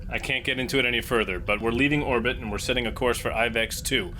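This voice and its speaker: A man's voice: mid-range voice, fast talker, bright, forceful tone, slight background noise. A man chatting casually as a player in a tabletop roleplaying game, taking the part of a captain. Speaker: player acting as starship captain.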